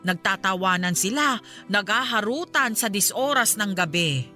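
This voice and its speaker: A woman's voice: mid-range voice, expressive, normal speed, bright, forceful tone, faint music behind. A woman playing a suspicious middle-aged mother chatting conversationally in a radio drama, with a Filipino accent. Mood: suspicious, gossipy.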